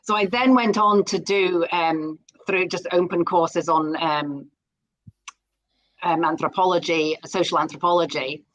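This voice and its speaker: A woman's voice: on the low side, steady tone, unhurried, fairly bright tone, poor audio. A middle-aged Scottish woman speaking as a guest in a live interview, enthusiastic and often repeating words as she starts a sentence. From Scotland.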